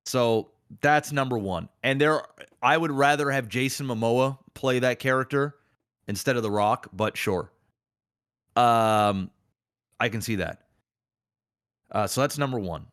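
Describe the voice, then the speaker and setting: A man's voice: mid-range voice, lively intonation, a little slow, pauses often, a bit bright, no echo. Emphatic, slangy male podcast host, dry sound. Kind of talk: casual opinionated podcast chat.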